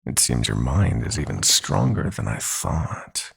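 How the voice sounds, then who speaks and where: A profoundly deep, husky villain god of nightmares for an audio roleplay. He gloats in slow, silky, mocking threats, his pitch sweeping widely over a gravelly bottom. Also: big pitch swings, a bit bright, exaggerated voice. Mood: gloating, silky. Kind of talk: roleplay audio drama acting.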